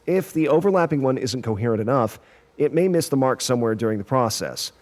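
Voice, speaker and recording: A man playing a self-assured scientist on a sci-fi crew in an audio drama, explaining in a measured, technical way. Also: medium pitch, expressive, natural voice.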